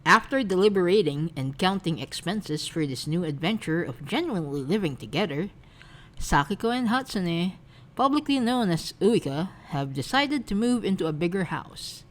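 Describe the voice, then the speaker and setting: A woman narrating a fanfiction aloud in a gentle, expressive storybook reading. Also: lowish voice, moderate pace. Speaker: fanfic reader.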